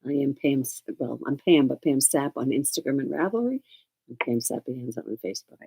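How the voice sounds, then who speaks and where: Woman on a knitting podcast, husky mellow voice, teasing and chatty. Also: a bass voice, animated, brisk. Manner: talkative, teasing, laughs a lot. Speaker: podcast co-host. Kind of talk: casual chat.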